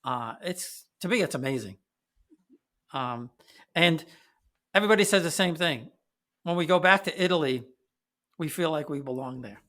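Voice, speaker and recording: A man hosts a casual podcast conversation in a friendly manner. His voice is bright and forceful, sitting a little high for a man. He talks haltingly, with plenty of fillers between thoughts. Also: animated. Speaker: podcast host.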